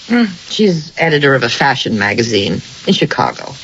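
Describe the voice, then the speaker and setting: An actress playing an anxious, defensive housewife in a radio drama, pleading earnestly with hesitant starts. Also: female voice, very deep voice, very animated, moderate pace, a bit bright, heavily acted. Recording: dull, hissy old radio recording.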